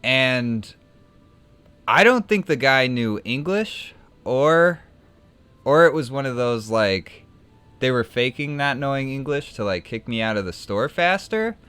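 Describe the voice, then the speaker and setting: A young man talks loosely over his card game, drifting into a long story and restarting his sentences as he goes. His voice is smooth and clear, sitting at an ordinary middle pitch. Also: lively intonation, unhurried, sharp tone, no room sound. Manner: rambling, self-correcting storyteller. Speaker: streamer. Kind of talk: casual gameplay chat and anecdote.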